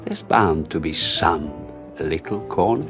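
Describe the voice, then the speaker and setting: An actor playing a suave, scheming man who plots with his lover, speaking in a silky, mocking, drawn-out way, in a 1980s radio drama. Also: extremely low pitch, animated, music in the background, exaggerated voice.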